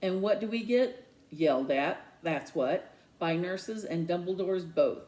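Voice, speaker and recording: A man's voice: high-pitched, measured pace, darkish tone. A woman voicing a resentful, sarcastic middle-school boy in a children's novel read aloud. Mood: bitter, sarcastic. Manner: indignant, sarcastic.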